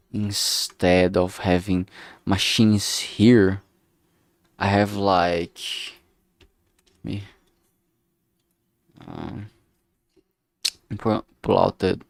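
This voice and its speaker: A man's voice: low-pitched, gravelly, no room sound. A man thinking aloud casually as he works, speaking hesitantly and often trailing off mid-thought. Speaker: streamer making a visual novel.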